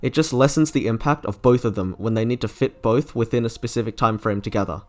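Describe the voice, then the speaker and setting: A man's voice: medium pitch, fast. A man narrating a scripted video game review as an online reviewer. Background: faint music.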